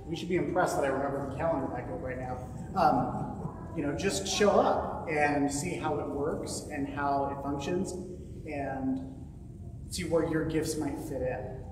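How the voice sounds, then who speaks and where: Joking priest in an echoey church. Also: male voice, on the high side. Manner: relaxed, joking, rambling. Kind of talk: church announcements. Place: large church sanctuary. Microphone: far camera, handheld mic at times. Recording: echoey hall from far off, noisy.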